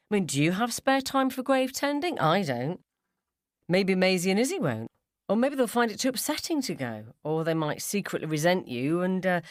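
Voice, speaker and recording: A woman's voice: lowish voice, dry recording, studio quality. A woman voicing a mischievous mum in a short story, teasing and blunt with breezy false innocence. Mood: gleeful needling. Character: mischievous, needling mum provoking her friends, falsely innocent. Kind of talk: short story character dialogue.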